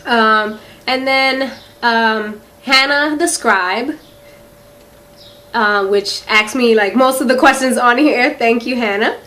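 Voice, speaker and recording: A woman's voice: on the high side, slow, bright, forceful tone. A young woman with a Philadelphia accent, chatting casually to camera in a rambling way, with lots of "um" and "like". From Philadelphia, USA. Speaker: beauty vlogger. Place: quiet room in a house.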